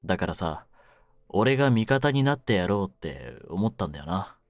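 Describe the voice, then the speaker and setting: A man playing a cynical, wounded young mafia kidnapper in an audio drama, with a low voice and rough, brooding delivery. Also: big pitch swings, normal speed, no echo, studio quality. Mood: wistful. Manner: rough masculine slang, taunting, brooding delivery. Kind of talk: Japanese situation-voice audio drama, ASMR.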